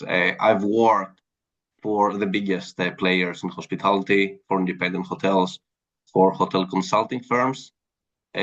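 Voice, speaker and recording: A man from Greece with a Greek accent, speaking earnestly as an interview guest about his career. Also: low voice. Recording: dull lo-fi sound.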